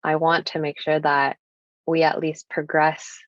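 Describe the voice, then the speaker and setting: Slow, low-voiced young woman guest. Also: flat delivery, dry recording. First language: Japanese. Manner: earnest, thoughtful, many "like" fillers. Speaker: podcast guest. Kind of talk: reflective personal conversation. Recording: dull lo-fi sound.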